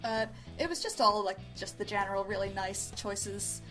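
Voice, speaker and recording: A woman's voice: mid-range voice, normal speed, a bit bright, faint music behind. A woman co-hosting a casual review show, speaking in a gushing, repetitive way that often trails off. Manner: gushing, repeats herself, trails off. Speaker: co-host of an amateur review show. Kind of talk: casual unscripted review chat.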